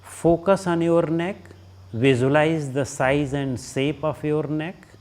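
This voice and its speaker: A man with an Indian accent leading a guided relaxation as a yoga teacher, giving short instructions with long pauses between them. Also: a little high, fairly even intonation, darkish tone.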